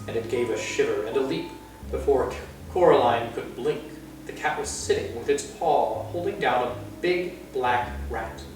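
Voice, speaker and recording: A man reading a children's story aloud as an expressive storyteller. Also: mid-range voice, normal speed, soft background music. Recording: very distant, echoey room.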